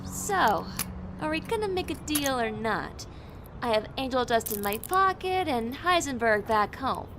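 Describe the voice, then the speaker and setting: A woman's voice: high-pitched, sing-song, a little slow, background noise, heavily acted. A woman playing a pragmatic, teasing teenage girl sidekick in an amateur superhero audio drama. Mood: playful, sly.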